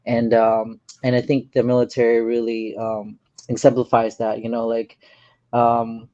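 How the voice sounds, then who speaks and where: A man from the Philippines, a real estate investor, talking as a guest in a casual interview, earnest and soft-spoken with many pauses. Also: medium pitch, monotone, no room sound, dull audio.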